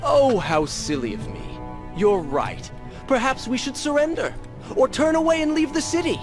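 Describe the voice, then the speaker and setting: An actor playing a proud, sardonic young Persian prince in a video game, with theatrical, formal delivery. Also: male voice, a little high, animated, normal speed, music in the background, lightly acted. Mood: sarcastic. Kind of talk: dramatic video game acting.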